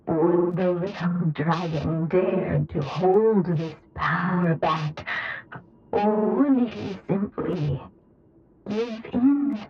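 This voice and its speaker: Ominous storybook narrator, extremely slow, halting, insistent, muffled sound, game music behind. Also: female voice, fairly low pitch.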